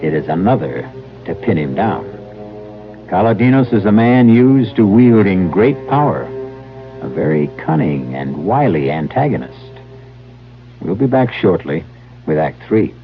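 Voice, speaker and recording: Music sits behind a muffled old radio recording of a male narrator. His lowish voice moves expressively as he delivers measured, portentous suspense narration. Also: sing-song. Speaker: host and narrator. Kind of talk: dramatic scripted 1970s radio suspense narration.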